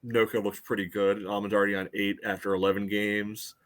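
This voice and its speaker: A man's voice: on the low side, level tone, brisk, a bit bright. A man co-hosting a sports podcast in casual conversation.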